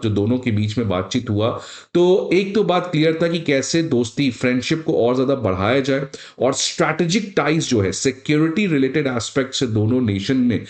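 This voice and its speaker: Echoey Indian man explaining current affairs. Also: medium pitch. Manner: didactic, addresses viewers directly. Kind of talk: explanatory news analysis for students, mixing in English phrases. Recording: roomy, echoing sound.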